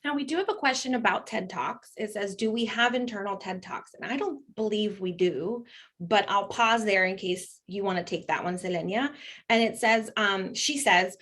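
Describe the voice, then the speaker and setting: A woman's voice: medium pitch, moderate pace, a bit bright. A woman moderating a corporate career panel over a video call, talking in an upbeat, encouraging, chatty way. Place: home, joined by video call.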